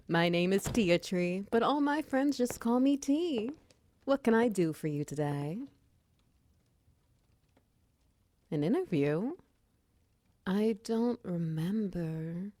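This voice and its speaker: A woman's voice: medium pitch, dry recording. A woman playing a friendly, flustered minotaur wizard who runs a potion shop, chatty and giggly in a roleplay audio drama. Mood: cheerful, welcoming. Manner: chatty, giggly, casual and conversational. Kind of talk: roleplay ASMR audio drama, addressing the listener.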